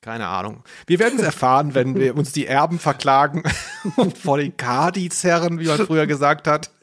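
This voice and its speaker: A man's voice: on the high side, moderate pace, fairly bright tone. A man co-hosting a podcast, talking casually in a jokey, tongue-in-cheek way. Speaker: podcast host.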